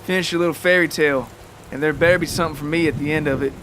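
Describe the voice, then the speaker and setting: A man's voice: fairly high pitch, fairly even intonation, rapid-fire, background noise, close to an everyday voice. A man voicing a bitter, hard-drinking farmer father who barks and sneers in folksy rural phrasing for an audio story. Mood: gruff demanding.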